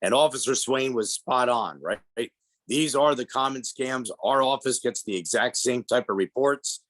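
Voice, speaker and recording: A man's voice: mid-range voice, normal speed, a bit bright. Congested man giving a presentation. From Pennsylvania. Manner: plainspoken, explanatory, addresses audience as folks. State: congested from a head cold. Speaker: guest speaker on elder fraud. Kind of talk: public presentation.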